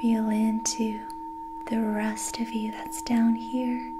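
A young adult woman guiding a relaxation in a soft, breathy ASMR whisper, soothing, gentle and unhurried. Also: a little high, flat delivery, heavy background noise. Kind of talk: whispered ASMR guided meditation. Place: small dim quiet room indoors.

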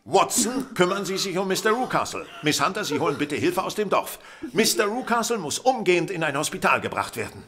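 An older actor playing a composed, courteous Victorian detective, precise and authoritative, in a German audio drama. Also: male voice, high-pitched, big pitch swings, a little fast, fairly bright tone. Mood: urgent, commanding.

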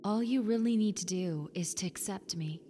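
A woman voicing a friendly, needy young female ghost in an intimate roleplay, softly coaxing the listener with hesitant pauses. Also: medium pitch, natural voice. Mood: soft, reassuring. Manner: soft, coaxing, hesitant pauses, sometimes near whisper. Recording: long reverb, clear background noise and ambience.

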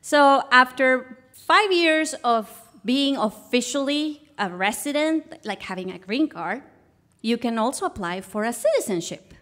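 A woman's voice: high voice, animated, a little slow, a bit bright. A woman from Guatemala with a Guatemalan Spanish accent, telling a personal story on stage as a guest. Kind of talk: personal story told to a live audience. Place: live stage show.

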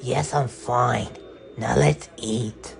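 A man voicing a hot-tempered, clingy young male frog in a homemade skit, whiny and quick to threaten. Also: mid-range voice, steady tone, gravelly, faint music behind. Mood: sheepish.

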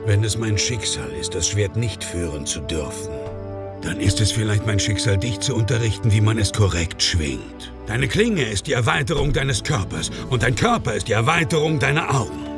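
A man's voice: fairly low pitch, lively intonation, normal speed, over music. A man playing a proud, stern troll warrior in a cartoon audio drama, solemn and commanding. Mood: solemn, instructive.

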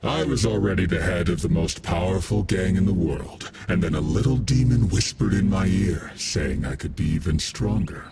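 A man voicing a cocky, menacing demon-powered gang boss for a video game, gravelly and full of boastful taunts. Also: deep voice, normal speed, hardly any pauses, very noisy. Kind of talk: video game character voice pack.

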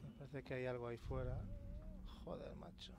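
Male let's player, Castilian Spanish accent, average pitch, casual and sweary commentary over noticeable horror game ambience. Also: fairly even intonation, a little fast. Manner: informal, swears often, mutters to himself. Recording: clear game ambience noise behind. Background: sci-fi horror game sound.

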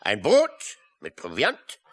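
An actor playing a boisterous, yarn-spinning sailor uncle with salty, hearty exclamations in a children's audio drama. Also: male voice, on the low side, huge pitch swings, takes it slowly, full character voice. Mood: hearty, boastful. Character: boisterous, yarn-spinning old seafaring uncle with a wooden leg.

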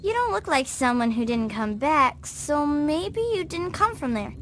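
Woman playing innocent, high-pitched little girl. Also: sing-song, a little slow, a little hiss or hum. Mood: curious, innocent. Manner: wide-eyed, childlike. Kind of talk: video game dialogue.

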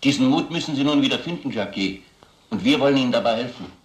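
An actor playing a stern police investigator in a crime radio drama, deliberate and authoritative. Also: male voice, medium pitch, expressive, echoey room, close to an everyday voice. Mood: pressing. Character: stern, accusing male police investigator pressing for confession.

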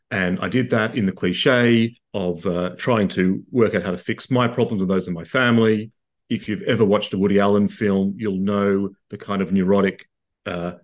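A man in his thirties with an Australian accent, a psychiatrist answering interview questions over a video call, articulate and explanatory with frequent restarts. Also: fairly low pitch. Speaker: psychiatrist guest. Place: home room indoors.

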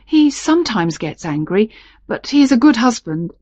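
A woman's voice: on the high side, big pitch swings, normal speed, a little mellow, no echo, pitched above the performer's own voice. A woman narrator playing a refined married duchess, reluctant yet fond and given to protest, in a storybook. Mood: reserved, kind.

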